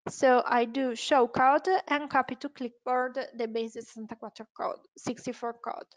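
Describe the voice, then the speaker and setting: High-voiced Italian woman giving a software tutorial. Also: steady tone, normal speed, poor audio. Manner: hesitant, unscripted explaining with self-corrections. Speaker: webinar presenter, software trainer.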